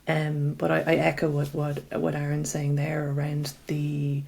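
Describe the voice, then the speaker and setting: A woman's voice: low voice, completely flat delivery, unhurried, gravelly, darkish tone. A woman giving casual interview answers as a company representative, repeating words as she forms her thoughts.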